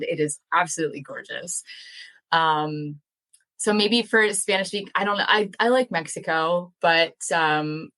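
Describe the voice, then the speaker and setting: A woman's voice: on the low side, bright, forceful tone. A young woman in a casual podcast interview, talking about her farm and language work in an enthusiastic, chatty way with many fillers. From United States. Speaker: guest, language educator and dairy farmer.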